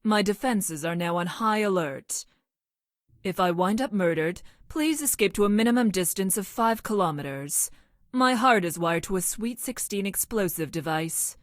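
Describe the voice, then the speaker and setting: A stilted, logical young woman scientist from the future , acted by a woman for a video game. Her voice sits slightly low and is recorded very dry. She words everything formally and precisely, with clinical deadpan delivery. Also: normal speed, no room sound, natural voice. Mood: detached, wary. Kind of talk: video game character voice lines.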